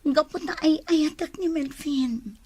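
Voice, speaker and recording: A woman acting a tender, lovelorn young rural homemaker in an audio drama, with an Ilocano accent and breathy, plaintive delivery. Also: high-pitched, unhurried, a little mellow. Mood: sad, confiding.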